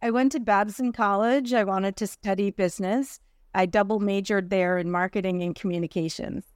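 A middle-aged woman speaks as a guest on a podcast, chatty and relaxed as she tells stories about her life. Her voice is smooth and clear, and it is recorded close up in a dry room with no echo. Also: medium pitch, moderate pace. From New Jersey. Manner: chatty, storytelling, laughs easily. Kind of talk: casual interview.

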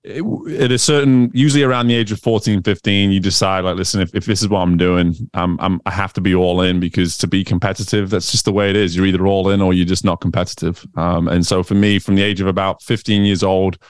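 A man's voice: sits low, fairly even intonation, fast, hardly any pauses, clear, resonant tone, no echo, studio quality. A man in his thirties from England, whose Northern English accent has picked up American sounds, chatting as an interview guest with many fillers and restarts. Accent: Northern English with American influence. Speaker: guest, swim coach. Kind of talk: casual interview conversation.

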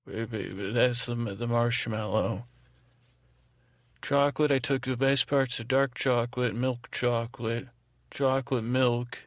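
A man hosting a sleep podcast with an improvised, meandering monologue, halting, repeating himself and trailing off in a creaky voice. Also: medium pitch, fairly even intonation, dry recording. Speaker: podcast host. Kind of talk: rambling, improvised bedtime monologue.

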